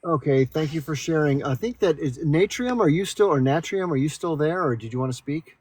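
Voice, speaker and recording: A man's voice: fairly high pitch, lively intonation, a little fast, a little mellow, breathy edge. A man hosting a call-in live stream, thanking callers and steering the talk in an easygoing way.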